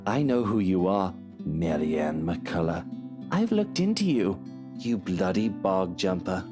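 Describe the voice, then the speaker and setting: A woman acts out a smug, menacing married man from a visual novel. The voice sits very deep and swings widely as he sneers and talks down to people, over clear guitar music. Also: sing-song, slow, full character voice. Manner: sneering, condescending, threatening. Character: smug married male cheater, taunting and menacing. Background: game music with guitar.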